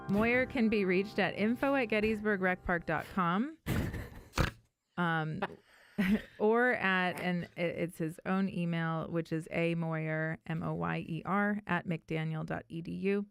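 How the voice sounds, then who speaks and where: A woman's voice: on the low side, takes it slowly, vocal fry, clear, resonant tone, soft background music. An American woman co-hosting a talk show, chatting casually and reading out local news items.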